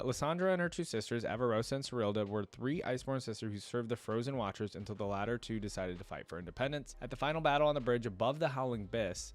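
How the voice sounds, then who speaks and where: Guy w a lowish voice doing reaction video stuff, reading out loud and chatting casually about it. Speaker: reaction video creator reading lore aloud and commenting. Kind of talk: casual reaction commentary mixed with reading aloud.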